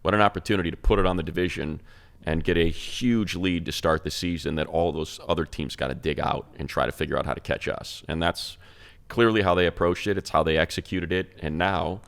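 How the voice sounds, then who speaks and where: A man's voice: sits low, steady tone, a little fast, gravelly, a bit bright, smooth. A man chatting casually about sports as a commentator on a talk show. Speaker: sports commentator and radio play-by-play announcer.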